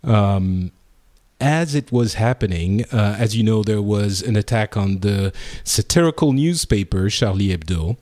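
A man with a French accent hosts a casual group discussion. His voice sits low, and he talks slowly, with many fillers and restarts. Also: expressive, a little mellow. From France. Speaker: podcast host.

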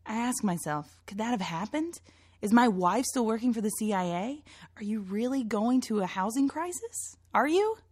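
A woman's voice: on the high side, lively intonation, moderate pace, darkish tone, dry recording, clean studio sound. An actress playing a suspicious, pushy woman who writes and casts an amateur production, in naturalistic dialogue. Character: suspicious, pushy amateur playwright running auditions. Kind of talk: naturalistic scripted drama dialogue.

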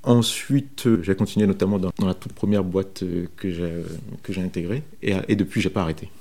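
A man from Benin talks in a deep, mellow voice. He gives an informal account of his working life as a developer. His delivery is hesitant, often pausing and doubling back on words. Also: steady tone. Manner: hesitant, halting delivery with frequent repetitions. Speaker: software developer interviewed about his career. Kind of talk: informal first-person career account.

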